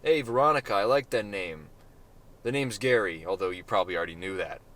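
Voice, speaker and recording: A man's voice: on the low side, brisk, fairly bright tone, natural voice. A man voicing an infamous but easygoing man who hesitates and uses many fillers, in a storybook reading.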